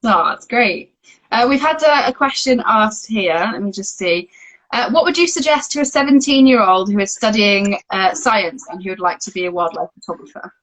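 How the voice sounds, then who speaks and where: A woman's voice: medium pitch, moderate pace, fairly bright tone, low-quality recording. A woman hosting a live online Q&A, friendly and encouraging, often saying "yeah, yeah". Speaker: interview host. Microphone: video call.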